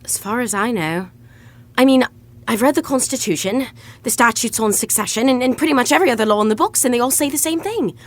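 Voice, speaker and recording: A brisk, bookish young woman in a rom-com audio drama. Her highish voice moves expressively as she talks with wry, bossy insistence and slightly flustered confidence. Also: lively intonation. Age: young adult. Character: brisk, bossy, studious young woman scheming fake engagement. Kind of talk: naturalistic romantic comedy audio drama acting.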